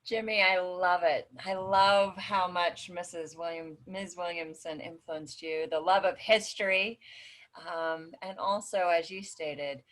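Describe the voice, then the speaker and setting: A woman hosting a panel, warmly affirming a guest's story. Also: fairly low pitch, fairly even intonation, measured pace. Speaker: host. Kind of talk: panel moderation.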